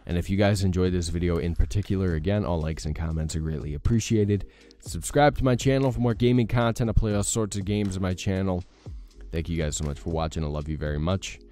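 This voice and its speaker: A young man with a deep morning voice hosting a let's play, chatting casually with lots of fillers. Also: fast, dark tone, soft background music. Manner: rambling, many fillers, self-deprecating. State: morning voice with a crack. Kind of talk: casual gameplay commentary.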